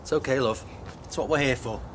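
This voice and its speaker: An actor in a radio drama playing a brisk, matter-of-fact, reassuring policeman. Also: mid-range voice, background noise, natural voice. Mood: businesslike.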